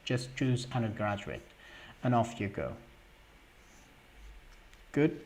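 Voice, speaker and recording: A young man hosting a live question-and-answer stream, reading viewer questions aloud and answering in a halting way with many fillers. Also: mid-range voice, sing-song, creaky, darkish tone, a little hiss or hum. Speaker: livestream host answering scholarship questions.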